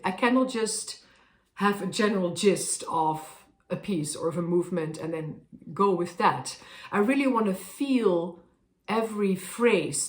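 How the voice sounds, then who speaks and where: Dutch woman singer in an echoey room. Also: medium pitch. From Netherlands. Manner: thoughtful, explanatory, with soft fillers. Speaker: professional singer. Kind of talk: casual explanation to camera. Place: room with a harpsichord, indoors.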